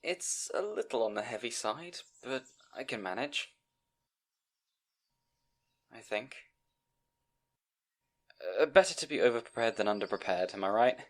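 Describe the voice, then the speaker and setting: A man's voice: mid-range voice, animated, a little slow, long pauses, natural voice. A man playing a timid, bookish young scribe in a fantasy audio roleplay, hesitant and apologetic, rambling and stammering. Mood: awkward, unsure. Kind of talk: audio roleplay acting addressed to the listener.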